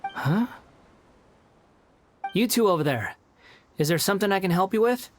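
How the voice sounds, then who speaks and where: Polite young wanderer. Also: male voice, high-pitched, lively intonation, normal speed, slight background noise. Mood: puzzled, polite. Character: polite, helpful young male wanderer, mild and earnest. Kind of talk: video game voice acting.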